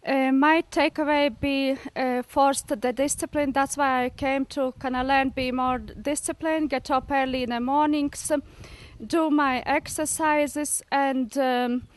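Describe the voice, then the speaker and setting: A woman's voice: high-pitched, steady tone, a little slow, dry recording, poor audio. A woman from Latvia giving a hesitant testimonial as a yoga course student. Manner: hesitant, searching for words. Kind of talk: short testimonial.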